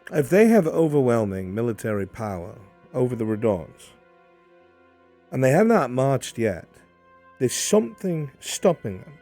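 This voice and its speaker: Shrewd fantasy informant, played by a man in live roleplay: formal, deliberate, dark warm tone, music underneath. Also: mid-range voice, very animated. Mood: pondering, suspicious. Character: measured, shrewd male informant in fantasy court intrigue. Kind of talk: improvised fantasy roleplay dialogue. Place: online VR voice chat.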